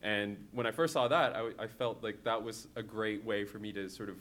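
A man in his thirties, from Hong Kong, a comics creator talking to an audience and often pausing with fillers and restarting. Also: lowish voice, fairly even intonation, a bit bright. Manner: hesitant, many fillers and restarts. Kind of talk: talk to an audience.

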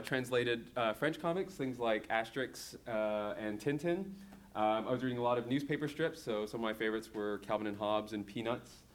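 A comics creator in his thirties gives a talk to an audience in a somewhat low voice. He is from Hong Kong. He often pauses with fillers and restarts his sentences. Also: a little hiss or hum. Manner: hesitant, many fillers and restarts.

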